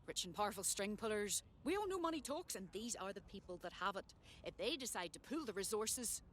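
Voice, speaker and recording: A woman's voice: medium pitch, expressive, fairly quick, fairly bright tone, slight background noise, close to an everyday voice. A woman playing a paranoid conspiracy theorist in a video game, talking in an insistent, emphatic manner. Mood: suspicious, insistent. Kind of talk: video game cutscene dialogue.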